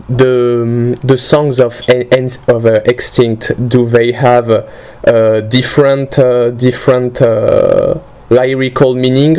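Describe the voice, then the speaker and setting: Male interviewer, mid pitch, slow and hesitant, lots of fillers. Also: darkish tone, clean tone. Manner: hesitant, many fillers and restarts. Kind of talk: interview questions.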